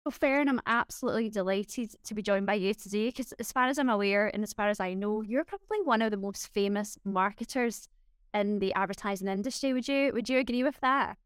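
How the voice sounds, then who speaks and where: A woman's voice: medium pitch, a little fast, clean tone, no room sound, clean studio sound. A woman hosting an interview, asking questions in an enthusiastic way. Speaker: podcast host, interviewer.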